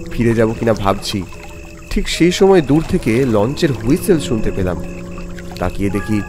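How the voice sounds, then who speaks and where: A man acting an animated, suspenseful ghost-tale narrator. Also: on the low side, close to an everyday voice. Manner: expressive, suspenseful storytelling. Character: young office man, polite, jittery narrator of ghost tale. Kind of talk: dramatic scripted first-person horror narration with dialogue. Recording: music bed under voice.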